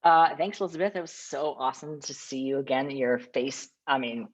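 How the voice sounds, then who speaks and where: A woman's voice: a bass voice, fairly even intonation, normal speed. A woman panelist speaking candidly and chattily over a video call. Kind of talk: casual panel sharing. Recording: dull lo-fi call audio.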